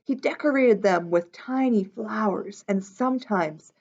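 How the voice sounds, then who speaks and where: A woman reading a picture book aloud to young listeners in an expressive, unhurried way, adding simple explanations in casual asides. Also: medium pitch, vocal fry, lo-fi sound.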